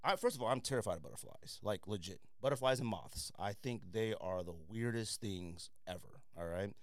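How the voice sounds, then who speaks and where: A man with a smooth, clear voice speaks in a dry, close-sounding space. He hosts a casual review podcast, giving firm opinions in relaxed slang and hammering points by repeating them. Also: lowish voice, brisk, no room sound. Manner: opinionated, slangy, emphatic repetition. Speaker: podcast host. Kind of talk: casual sneaker review chat.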